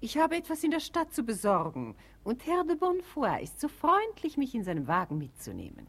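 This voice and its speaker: An actress playing a scheming, falsely doting wife in a German radio comedy, with an affected, gushing manner. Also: female voice, a little high, huge pitch swings, brisk, slightly rough, full character voice.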